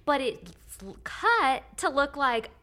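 A middle-aged woman co-hosting a chat podcast, her voice sitting a little high and sounding bright and forceful. She talks in long, animated run-on stretches, restarting her sentences. She laughs often. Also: takes it slowly. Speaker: podcast co-host. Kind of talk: casual chat recapping a reality show.

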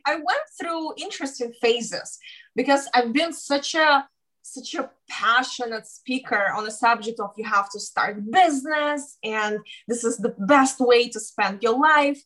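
Woman, a bit high voiced, candid and passionate, interview guest. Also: a bit bright, low-quality recording. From Ukraine. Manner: candid, passionate, non-native English phrasing. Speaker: guest, startup founder. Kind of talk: casual interview chat.

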